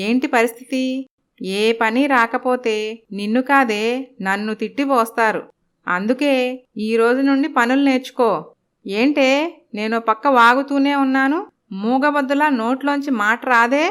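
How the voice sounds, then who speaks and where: High-pitched nagging stepmother, acted by a woman. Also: fairly even intonation, moderate pace, fairly bright tone, smooth, natural voice. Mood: scolding. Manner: nagging, emphatic. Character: harsh, scolding stepmother who dotes on her own daughter. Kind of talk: Telugu animated moral story acting.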